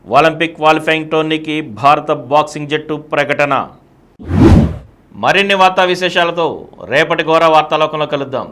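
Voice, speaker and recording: A man's voice: on the high side, fairly even intonation, brisk, bright, forceful tone, a little hiss or hum. A man reading scripted news as a bulletin presenter. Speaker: news reader.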